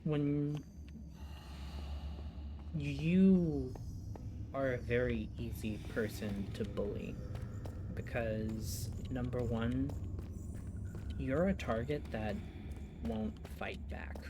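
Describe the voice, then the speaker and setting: Soft-spoken young Midwestern man. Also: medium pitch, very slow, pauses often, noisy background. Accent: Midwestern American. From United States. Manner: soft, reflective, rambling with frequent "you know". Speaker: gaming vlogger. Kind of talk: casual confessional chat while playing. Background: loud game music.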